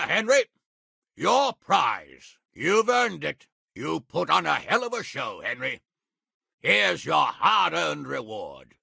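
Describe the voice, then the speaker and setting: A man playing a blunt, hot-tempered middle-aged medieval lord in a video game, with a raspy, gravelly voice and an authoritative manner. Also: mid-range voice, sharp tone, no echo, studio quality, exaggerated voice. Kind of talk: video game character acting.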